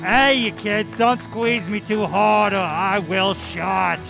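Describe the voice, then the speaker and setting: Gravelly, grumpy talking slime blob. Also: male voice, high voice, takes it slowly, music in the background, exaggerated voice. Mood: grumbling. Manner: cranky griping, breaking into yells. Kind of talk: parody toy commercial skit.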